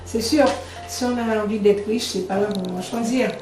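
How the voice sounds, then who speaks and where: A woman speaks plainly and conversationally, as a care worker being interviewed about the job. The mic sits at a distance in an echoey room, and music plays clearly underneath. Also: mid-range voice, fairly even intonation, moderate pace.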